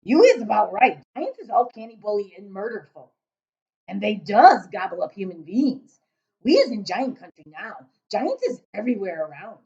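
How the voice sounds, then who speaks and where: Storybook giant, played by a woman: huge, boastful male, loud and booming, raised lively pitch, muffled recording. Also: moderate pace. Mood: gleeful, boastful. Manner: emphatic, shouting, mangled grammar and invented words. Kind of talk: children's storybook read-aloud.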